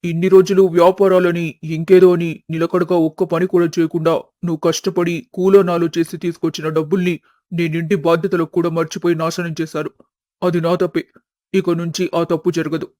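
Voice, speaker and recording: A man's voice: fairly high pitch, level tone, normal speed, a little mellow, no room sound, clean studio sound, lightly acted. A man playing a remorseful middle-aged husband and father in a family story, humble and earnest.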